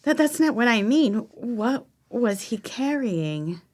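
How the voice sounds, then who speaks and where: A woman acts out an obsessive female superfan in a stage audio play. Her voice sits fairly high and swoops widely, effusive and breathless, with a flirtatious streak. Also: big pitch swings, slow, full character voice. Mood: uneasy. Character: gushing, obsessive superfan woman of a cooking show.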